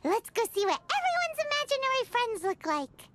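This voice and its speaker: A woman voicing a tiny, excitable, childlike fairy companion girl in a video game, nosy and blunt, with a squeaky voice. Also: a little fast, heavy background noise. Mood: eager, nosy. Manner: exclamatory, talks about self in third person. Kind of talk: video game character dialogue.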